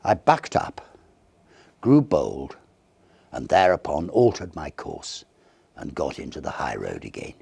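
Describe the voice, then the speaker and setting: A man giving a theatrical, measured narration of a Victorian ghost story, with dramatic pauses. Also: a bass voice, huge pitch swings, gravelly, darkish tone, husky, no echo. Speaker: audiobook narrator.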